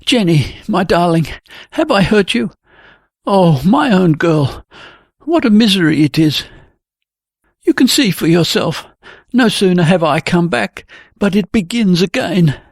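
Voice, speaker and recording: Wounded, easily offended young man, voiced by the book's narrator. Lively, dry delivery. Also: mid-range voice, a little slow, dry recording, pitched above the performer's own voice. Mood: anguished. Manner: hurt, heated, pleading. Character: touchy, sensitive young man, quick to hurt.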